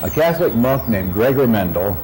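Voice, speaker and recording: A man's voice: mid-range voice, animated, a little fast, darkish tone, faint music behind, low-quality recording. A man presenting an educational science film in scripted documentary narration.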